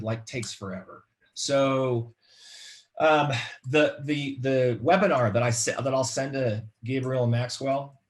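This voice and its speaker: A middle-aged man with an American accent explaining informally on a video call, halting and repeating words as he goes. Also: medium pitch. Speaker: webinar guest presenter, marketing expert. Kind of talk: informal explanatory webinar talk. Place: home room, indoors.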